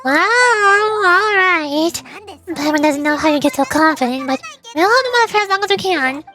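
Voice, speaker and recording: A woman's voice: very high-pitched, animated, moderate pace, bright, forceful tone, faint music behind, heavily acted. A woman voicing a small, chatty, cheeky fairy companion in a video game, with a childlike manner. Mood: doubtful, cheeky. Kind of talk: live amateur voice acting of game dialogue.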